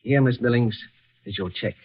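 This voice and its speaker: An actor playing a courteous, secretive businessman with a halting, gently insistent manner in an old-time American radio drama. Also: mid-range voice, breathy edge, natural voice. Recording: muffled, tinny old radio recording.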